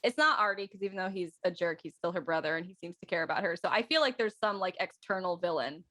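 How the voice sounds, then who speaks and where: Bright-voiced woman chatting casually. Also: lowish voice, fast. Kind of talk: casual speculative chat. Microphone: close mic on a video call.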